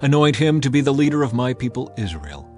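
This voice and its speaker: A man's voice: mid-range voice, big pitch swings, fast, a little mellow, soft background music, lightly acted. A man playing an authoritative, merciful God in a dramatized scripture reading. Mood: solemn authority. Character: the Lord God, authoritative, merciful divine male ruler.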